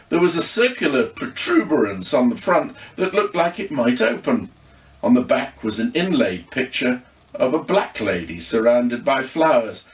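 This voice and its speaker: An English man reading his own children's ghost story as expressive, scripted narration. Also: medium pitch. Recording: roomy echo, distant microphone.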